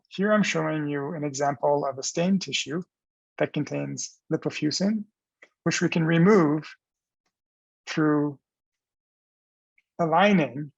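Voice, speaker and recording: A man giving a scientific research presentation as a professor, explaining in a measured, halting way with restarts. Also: on the high side, gravelly, husky, no echo, rough recording.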